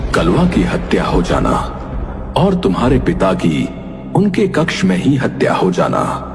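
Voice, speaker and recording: A man playing a solemn elderly holy man in a horror story, speaking gravely and with emphasis. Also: deep voice, long reverb tail, full character voice. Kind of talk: dramatic Hindi horror story acting.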